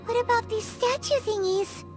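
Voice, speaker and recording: A squeaky, tiny, childlike fairy. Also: female voice, normal speed. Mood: curious, uneasy. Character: tiny childlike female fairy companion, curious, blunt. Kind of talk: scripted video game dialogue. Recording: voice over loud game music.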